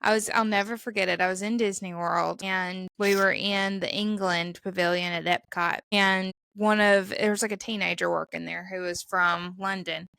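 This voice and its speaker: A woman's voice: mid-range voice, fairly even intonation, normal speed, gravelly, few pauses, sharp tone, smooth, dry recording. A young woman with a Southern accent from Mississippi, co-hosting a podcast in casual chat and acting out the lines she quotes. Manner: chatty storyteller, acts out quotes. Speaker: podcast co-host.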